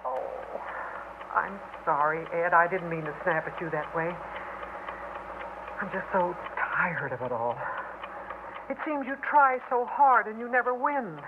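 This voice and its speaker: A weary, frayed housewife in a sixties radio drama. Her plaintive, dramatic voice moves through a wide, animated pitch range. The sound is muffled, with clear noise throughout. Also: measured pace, long pauses. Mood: exhausted despair. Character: weary, frayed wife and mother trapped in shelter. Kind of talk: 1960s radio drama acting. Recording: muffled, noisy 1960s radio recording.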